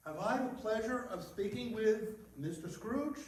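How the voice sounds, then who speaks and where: Man playing a high-pitched, courteous charity gentleman. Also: lively intonation, measured pace. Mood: courteous, earnest. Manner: formal, polite. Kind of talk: stage-style radio play acting. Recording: very echoey room, distant microphone.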